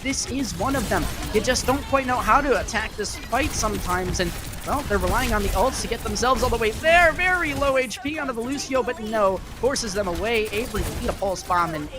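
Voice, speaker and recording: An esports commentator calling live play-by-play in a chatty way that gets animated with the action. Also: mid-range voice, fairly quick, music in the background.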